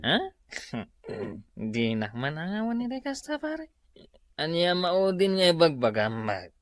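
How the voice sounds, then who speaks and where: Radio comedy: man as an idle boozer husband, slow drawl, pitch jumping all over, dry close mic. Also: big pitch swings, no room sound. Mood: amused. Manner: drawling, swooping pitch, frequent "pare". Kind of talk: Ilocano radio comedy drama acting.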